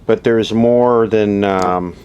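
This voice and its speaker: A man's voice: fairly low pitch, steady tone, slow, a little mellow, clear, resonant tone. A man giving a casual, unscripted, matter-of-fact repair demonstration at a workbench, recorded on a camera microphone. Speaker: vintage radio repair hobbyist presenting. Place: indoor workshop at a workbench. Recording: noisy amateur camera audio.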